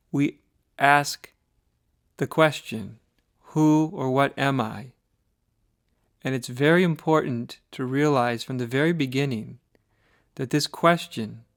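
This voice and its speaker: An American man leading a guided meditation as a spiritual teacher, speaking in a measured, deliberate way with pauses between short phrases. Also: medium pitch, clear, resonant tone, dry recording, clean studio sound. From United States.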